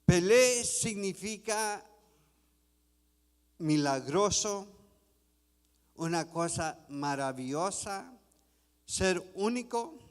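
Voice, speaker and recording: A man preaching a sermon in a church, reading scripture slowly and deliberately. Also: high-pitched, expressive, clear, resonant tone, long reverb tail. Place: church sanctuary.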